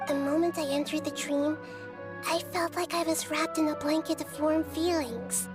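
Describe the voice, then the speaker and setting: A woman plays a small girl deity from a video game, with a high, childlike voice. She speaks gently and thoughtfully, in measured, articulate phrases full of earnest wisdom. Music plays clearly behind her. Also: fairly even intonation, close to an everyday voice. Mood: reflective, gentle.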